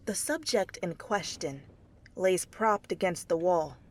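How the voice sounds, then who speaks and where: Unhurried, lower-pitched woman narrating a story. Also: lively intonation. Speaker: fan fiction reader and narrator. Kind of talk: expressive second-person story narration, ASMR reading. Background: faint music.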